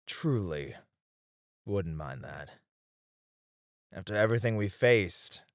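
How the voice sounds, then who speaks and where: A man's voice: low-pitched, dry recording, studio quality, full character voice. A man playing a weary, tender warrior and teasing sparring partner in an intimate ASMR romance roleplay, speaking wistfully with chuckles and sighs. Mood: hopeful, weary.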